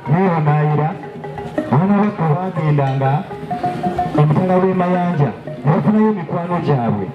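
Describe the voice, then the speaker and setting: A man's voice: fairly high pitch, expressive, normal speed, loud music behind the voice. A man with a Ugandan accent narrating a cultural dress show outdoors, in a proud storytelling way. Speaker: cultural presenter. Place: outdoor lawn at a campus gala. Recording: echoey, dull outdoor PA with loud drums.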